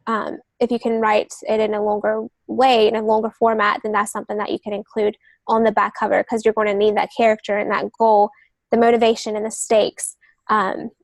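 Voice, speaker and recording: A woman's voice: mid-range voice, little pitch movement, normal speed, no echo. A woman speaking as a guest on a writing podcast, explaining things in conversational interview talk. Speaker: guest novelist and literary agent.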